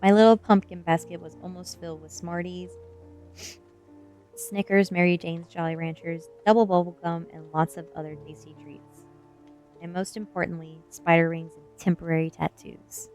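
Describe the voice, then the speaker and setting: A woman's voice: fairly low pitch, steady tone, moderate pace, a little mellow, faint music behind. A woman reading a first-person novel aloud as ASMR storytelling.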